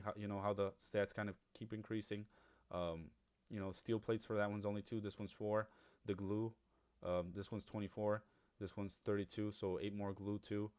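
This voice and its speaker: A man's voice: fairly low pitch, darkish tone. A man whose first language is Spanish, talking casually over a mobile game, rambling with many fillers as he compares weapon upgrades. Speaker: gaming YouTuber.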